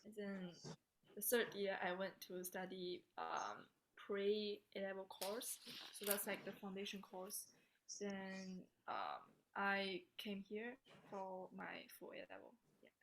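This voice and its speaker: A teenage girl from China, a student, answering questions in a soft, chatty way with many likes and ums. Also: mid-range voice, little pitch movement, slow pace, noisy background. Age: late teens. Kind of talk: casual answers on a video call.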